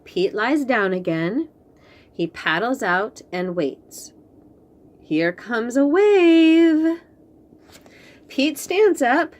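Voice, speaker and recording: A woman reading a picture book aloud to young children, slow and expressive, then chatting about the books. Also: on the high side. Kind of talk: read-aloud storytime for young kids.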